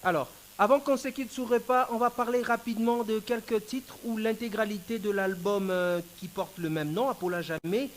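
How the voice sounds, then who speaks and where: A man hosting a radio interview, talking to his listeners and filling pauses with drawn-out fillers. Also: high voice, a little hiss or hum, low-quality recording. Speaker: radio host.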